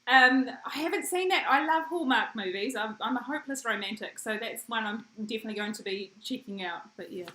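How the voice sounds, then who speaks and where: Bright, high-voiced woman host. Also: animated, fairly quick, few pauses, echoey room, poor audio. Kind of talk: casual video-call interview.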